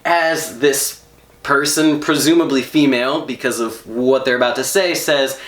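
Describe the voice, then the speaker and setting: A man telling a personal story to an audience, animated and emphatic, laughing as he talks. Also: medium pitch, measured pace. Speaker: storyteller on stage. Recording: roomy hall echo.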